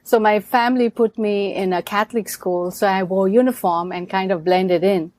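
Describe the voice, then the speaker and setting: A middle-aged woman from India, a podcast guest telling her story in an animated way and acting out the people she quotes. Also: mid-range voice, moderate pace, dry recording. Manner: animated storyteller who acts out quoted people, laughs often. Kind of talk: storytelling interview.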